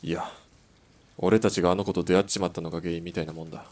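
Man acting a low-voiced, gruff young sniper. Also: level tone, fast, darkish tone, close to an everyday voice. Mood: firm. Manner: blunt, rough masculine speech. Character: perceptive, steady, gruff hired sniper. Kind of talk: Japanese radio drama acting.

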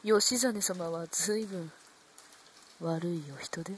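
A woman playing a proud, sharp-tongued young courtesan in a radio drama, speaking in old-fashioned courtesan style. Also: fairly low pitch, slow, a little hiss or hum. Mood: coy, composed.

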